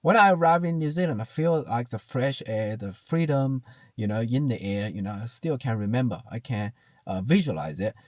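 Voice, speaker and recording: A man's voice: mid-range voice, lively intonation, moderate pace, a little mellow, dry recording. Hesitant Chinese-accented man in his thirties. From China. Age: 30s. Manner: hesitant, many fillers and restarts, repeats "you know". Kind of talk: reflective personal talk to camera.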